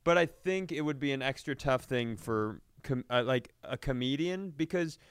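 A man telling comedic stories in casual, animated, profane banter, often acting out quotes as a comedy podcast host. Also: mid-range voice, moderate pace, clear, resonant tone, dry recording, studio quality.

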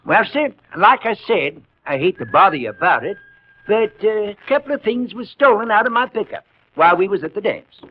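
A folksy, apologetic rancher, speaking high and husky with a rural American accent. He is hesitant and deferential, in a 1950s radio western with a dull old sound. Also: animated, brisk. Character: apologetic, folksy male Texas rancher with a complaint.

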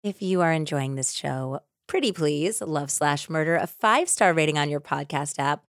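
A woman's voice: low voice, animated, normal speed, no echo, clean studio sound. A woman co-hosting a podcast, mixing casual chat with true-crime storytelling in a playful, teasing way. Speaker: podcast co-host.

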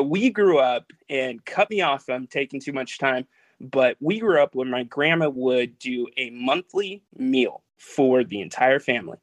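A man hosting a casual chat, enthusiastic and quick with questions. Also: medium pitch, a bit bright, no room sound. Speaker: host.

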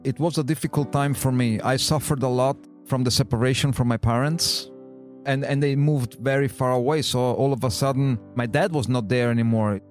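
Man, relaxed English. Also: mid-range voice, soft background music. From Austria. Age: 30s. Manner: relaxed, plain-spoken, halting non-native phrasing. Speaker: diver and business owner. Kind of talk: interview answers. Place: on a boat in a bay.

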